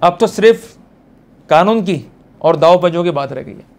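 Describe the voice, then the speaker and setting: A man with an Indian accent lecturing on law, mixing Hindi and English legal terms, emphatic and direct with viewers, reading quotations slowly and deliberately and explaining informally. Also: high voice, animated, a little mellow. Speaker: lawyer giving online law lectures.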